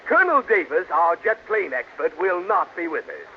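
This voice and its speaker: A man's voice: sits high, very animated, normal speed. A man playing a pompous, stammering forum chairman who is easily exasperated, in a 1940s radio sitcom. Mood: officious. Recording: noisy, muffled old radio recording.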